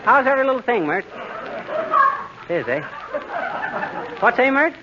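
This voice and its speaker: An actor playing a blustering, wisecracking American husband in a 1940s radio comedy. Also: male voice, very high voice, big pitch swings, moderate pace. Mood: folksy blustering. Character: blustering, irritable, wisecracking small-town husband. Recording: dull, hissy 1941 radio recording.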